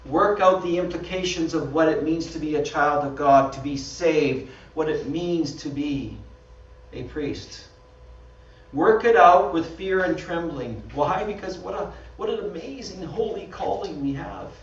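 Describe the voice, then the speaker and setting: Canadian pastor preaching in a roomy hall. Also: male voice, a little high, measured pace. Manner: conversational, self-deprecating, laughs often. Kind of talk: sermon with slides. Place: small church hall. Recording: dull, roomy sound from far off.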